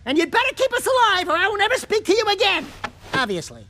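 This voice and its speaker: An actor voicing a cocky, vain, wisecracking little sidekick creature in an animated video game, boastful and dramatic. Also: very high-pitched, fast talker, a little hiss or hum, exaggerated voice. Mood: indignant, bantering.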